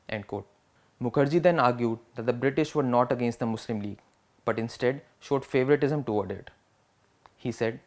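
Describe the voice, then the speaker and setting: A man with a medium-pitched voice presents a scripted piece on a historical speech. He reads long quotations formally and in a measured way, clearly setting them apart from his own narration. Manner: formal, measured delivery, marking quotations aloud.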